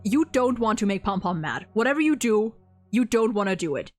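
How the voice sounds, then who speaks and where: A woman talking casually about a game she plays, in an animated, gushing manner and acting out quotes as she goes. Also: medium pitch, brisk. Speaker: co-host ranking game story quests. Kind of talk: casual gaming chat.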